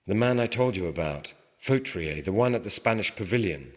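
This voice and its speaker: A man's voice: lowish voice, lively intonation, fairly quick. A man reading aloud the part of an uneasy young British officer in a novel, terse and guarded, in a reverberant space. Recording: reverberant hall with long echo.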